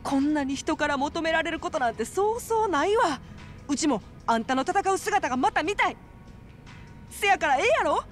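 A woman's voice: high voice, moderate pace, faint music behind. A woman voicing a brash, coaxing female fight promoter with a Kansai accent in a video game. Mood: urgent coaxing. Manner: animated, coaxing, emphatic. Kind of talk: video game cutscene acting.

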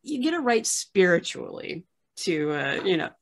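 A woman's voice: fairly low pitch, very animated, moderate pace. A woman, chatting casually as an interviewer on a video call. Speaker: interviewer, archivist. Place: room at home, indoors, video call.

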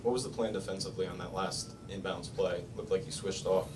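A man's voice: deep voice, fairly even intonation, a little fast. A male reporter asking a question at a press conference, recorded from a distance in a noisy room.

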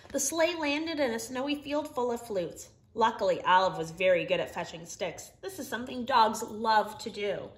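Woman reading a storybook, high animated voice. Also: moderate pace, few pauses. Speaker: storybook reader. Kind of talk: expressive children's read-aloud. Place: living room, indoors.

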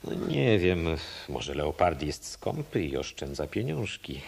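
An actor playing an easygoing hotel colleague in a radio play, conversational and unhurried. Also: male voice, sits low, big pitch swings, creaky, darkish tone, slightly rough, full character voice. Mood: relaxed, friendly.

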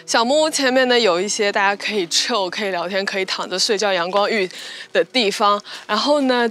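A woman's voice: medium pitch, a bit bright. A young woman giving a chatty, upbeat tour of a rental house and its backyard cabins, slipping English words into her talk. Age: young adult. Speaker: vlogger. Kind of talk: casual house-tour vlog. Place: rooms of a house and a wooded backyard.

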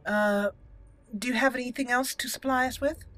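A woman's voice: fairly high pitch, fairly even intonation, normal speed, a bit bright. A woman giving casual game commentary as host, chatty and giggly, musing aloud as she plays.

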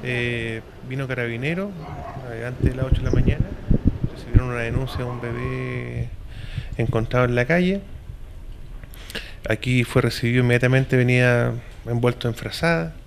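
A man's voice: medium pitch, steady tone, normal speed, vocal fry, noisy background. A middle-aged man with a Chilean accent, a hospital director giving a matter-of-fact report to reporters' mics in an office. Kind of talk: press statement. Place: indoor office. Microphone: several handheld reporter mics.

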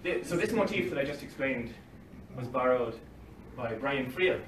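A man's voice: mid-range voice, animated, normal speed. A young man giving a conference talk at a lectern, reading from notes with dry asides, recorded from across a library meeting room. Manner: reads from paper at a lectern, dry asides with short laughs. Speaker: conference speaker. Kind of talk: academic talk read from notes. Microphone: far phone camera across the room. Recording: roomy, distant, faint hiss, livestream quality.

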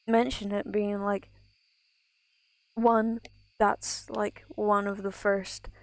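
Slow, gravelly young woman streamer. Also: medium pitch, no echo. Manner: rambling, self-interrupting, laughs often. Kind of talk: casual gameplay chat.

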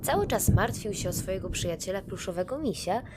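A woman storyteller narrating a scripted tale for children in an expressive, deliberate manner. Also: medium pitch, background noise.

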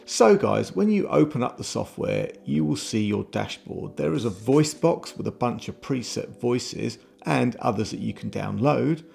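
A middle-aged man with a heavily creaky, gravelly voice presents a casual tech review. He speaks close to a desk mic at an ordinary male pitch, with music playing behind him. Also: expressive, measured pace, hardly any pauses, a little mellow. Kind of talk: scripted casual product review. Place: home room with shelves, indoors. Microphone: desk microphone close in front. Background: background music.

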